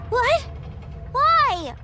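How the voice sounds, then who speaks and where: An eager little boy played by a woman speaks in a very high, lively voice, excited and trusting. His voice jumps around in pitch like a child's. A loud game score sits under him. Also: extremely slow, music in the background, full character voice. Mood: childlike. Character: young boy, eager and trusting, adores his big brother. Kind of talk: video game cutscene voice acting.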